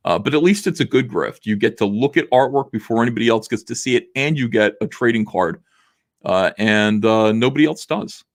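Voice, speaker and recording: A man in his forties hosting a casual livestream, reading viewer messages aloud, rambling with lots of fillers while his voice is going rough. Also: mid-range voice, animated, fairly quick. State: losing his voice. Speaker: livestream host. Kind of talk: casual livestream chat reading super chats.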